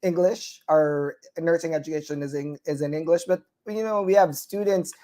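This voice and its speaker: A man's voice: fairly high pitch, steady tone, normal speed, dark tone. Earnest man with a Filipino accent. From Philippines. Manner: earnest, encouraging, many fillers and restarts. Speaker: nurse practitioner and co-host. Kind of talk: motivational talk-show advice.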